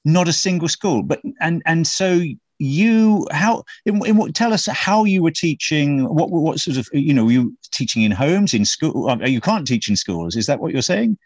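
A man's voice: on the high side, animated, normal speed, hardly any pauses, no echo. A man hosting an interview, who asks probing questions and often rephrases them. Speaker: interviewer, host.

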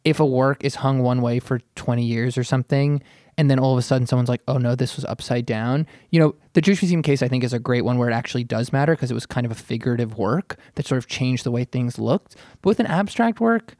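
Man, mid-pitched, gravelly fry, dry close recording, casual explainer, careful qualifications. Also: fast, darkish tone, no echo. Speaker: writer on a podcast.

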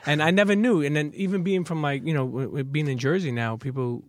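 A man with a New York accent hosting a casual interview podcast, energetic and peppering his talk with "okay". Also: fairly high pitch, fast talker, dry recording. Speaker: podcast host.

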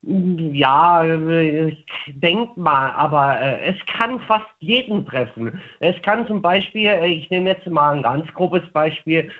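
A man's voice: fairly high pitch, slow pace. A man in his twenties calling in to a radio show, talking casually over a narrow phone line. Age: 20s.

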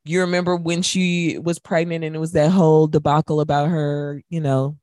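A woman's voice: deep voice, level tone, moderate pace, dark tone, no room sound, clean studio sound. A woman chatting casually as a guest on a talk show, conversational and peppering her sentences with "like". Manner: conversational, uses "like" very often. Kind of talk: casual chat.

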